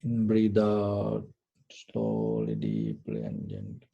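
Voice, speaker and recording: A man teaches meditation in a very dark, soft-edged voice. He speaks unhurriedly and gently in short, soothing instructions, with a noticeably creaky, gravelly grain underneath. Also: rumbling low, sing-song, takes it slowly, dull audio, soft background music. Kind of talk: slow guided meditation instruction.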